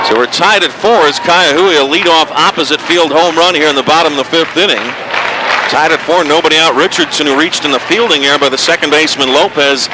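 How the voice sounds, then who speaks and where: A man calling live baseball play-by-play as a radio announcer, reporting the game in detail. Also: fairly high pitch. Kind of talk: live sports commentary. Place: outdoor ballpark broadcast position. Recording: noisy outdoor ballpark with crowd. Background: crowd and stadium ambience.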